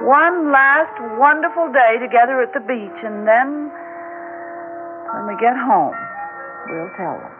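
A woman's voice: fairly high pitch, lively intonation, measured pace, pauses often. Tender, fretful mother on tinny old radio. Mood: wistful, worried. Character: gentle, sentimental wife and mother of young children. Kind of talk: 1960s radio drama acting. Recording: tinny 1960s radio recording, music behind.

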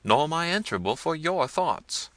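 Calm young peasant, firm and dignified, read by a man, bright clear tone. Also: mid-range voice, fairly quick, no room sound, pitched above the performer's own voice. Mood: steady.

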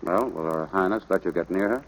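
A low-pitched, gruff American rancher dad in a vintage radio drama. He speaks firmly and plainly, like a stern father teaching his boy. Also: a little fast, low-quality recording. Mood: firm, wry. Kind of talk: 1940s radio drama acting.

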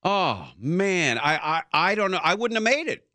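A man's voice: sits high, very animated, normal speed, pushed and bright, dry recording, clean studio sound. A man hosting a casual talk show who tells rambling stories full of fillers and restarts. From Southern California. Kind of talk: casual conversation.

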